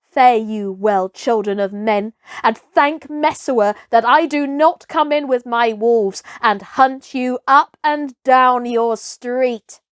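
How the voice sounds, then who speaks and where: A woman's voice: fairly high pitch, slow, smooth, dry recording, studio quality, voice pushed up. A woman voicing a bold, scornful young herdsboy raised by wolves, with archaic, commanding speech in a storybook reading. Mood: scornful, defiant.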